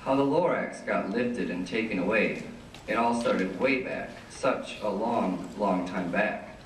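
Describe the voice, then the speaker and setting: Guy acting old hermit telling his story in rhyme, kinda high voice, drawn out and wistful, echoey room w faint noise. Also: unhurried, close to an everyday voice. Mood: secretive, wistful. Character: secretive old male hermit creature, nostalgic, boastful, wheedling storyteller. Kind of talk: readers theater verse performance. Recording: roomy, distant, faint noise.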